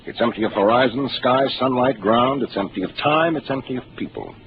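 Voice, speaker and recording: An actor playing a calm, seasoned starship captain who speaks in a measured, authoritative way, in a 1950s radio drama. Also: male voice, mid-range voice, lightly acted. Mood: explaining. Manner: measured, authoritative, explaining patiently. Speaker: ship captain. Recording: hissy narrow 1950s radio broadcast.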